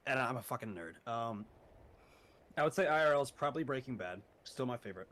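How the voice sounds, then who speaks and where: A man's voice: mid-range voice, expressive, fast talker, fairly bright tone. Young adult male guest, horror narrator, laid-back and profane, casual chat. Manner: laid-back, swears often, laughs a lot.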